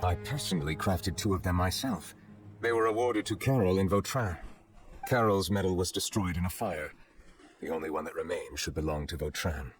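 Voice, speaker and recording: An actor playing a composed, authoritative investigator in a video game, formal and commanding, with music behind. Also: male voice, low-pitched, a little fast, close to an everyday voice. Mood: thoughtful. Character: composed, authoritative male investigator, stern and measured.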